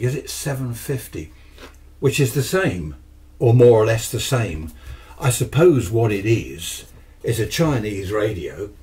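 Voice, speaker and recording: An older man from the south of England with a fairly low voice. He presents in a casual, rambling way, with wry, self-mocking asides, like someone chatting across a workbench in a small workshop. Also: a little mellow, a little hiss or hum. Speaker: presenter of a radio workshop channel. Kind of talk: casual chat, product review. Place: small cluttered indoor radio workshop.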